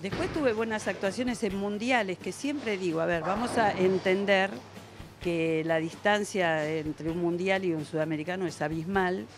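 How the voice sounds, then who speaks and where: An older Argentine woman in her seventies gives conversational, explanatory interview answers. She has a mid-pitched voice and speaks into a handheld mic, with music clearly audible behind her. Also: normal speed. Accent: Argentine Spanish. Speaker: veteran bowler being interviewed. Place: indoor bowling alley. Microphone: handheld interviewer's microphone.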